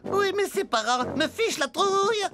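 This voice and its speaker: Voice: very high-pitched, lively intonation, moderate pace. An actor playing a pompous, melodramatic male evil genius in a French cartoon dub, grandiose and theatrical. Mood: self-pitying. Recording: music behind the voice.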